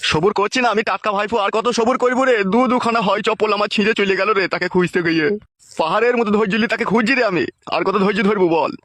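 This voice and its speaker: A man's voice: sits high, very fast, a bit bright, dry recording. A man voicing a lovesick, pleading older village man in a comedy cartoon, plaintive and melodramatic. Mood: impatient longing.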